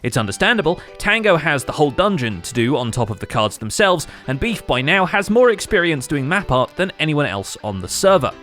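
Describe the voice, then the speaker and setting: A man's voice: on the high side, very animated, a little fast, hardly any pauses, a bit bright, faint music behind. A man hosting a gaming recap show, reading scripted narration in a wry manner.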